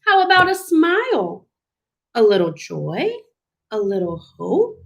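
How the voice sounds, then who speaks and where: A woman's voice: a little high, huge pitch swings, slow pace, vocal fry, exaggerated voice. A woman voicing a well-meaning sea creature in a storybook, chiding and coaxing in lilting rhyme.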